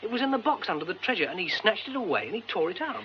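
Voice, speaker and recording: An actor playing an excitable, musing young British theatre man in a radio drama. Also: high-pitched, rapid-fire, muffled recording, a little hiss or hum. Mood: searching memory.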